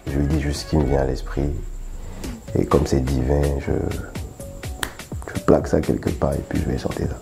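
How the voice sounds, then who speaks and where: A male singer with a Beninese French accent being interviewed, relaxed and easygoing, now and then slipping into English. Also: very deep voice, normal speed, vocal fry, long pauses, music in the background. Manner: relaxed, laughs easily, mixes in English. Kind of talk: casual interview.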